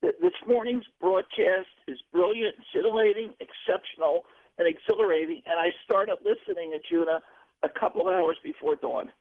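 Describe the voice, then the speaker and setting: High, gravelly male caller on phone. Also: normal speed, no room sound. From Pennsylvania. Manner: effusive, rambling, stumbles over words. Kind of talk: call-in radio question. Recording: dry tinny phone line.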